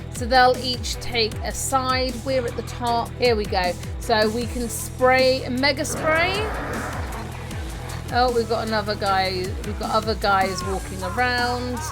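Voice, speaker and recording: Slow-paced, higher-voiced woman gaming host. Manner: chatty, narrates own actions step by step, reads pop-ups aloud. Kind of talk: casual game walkthrough commentary with tips.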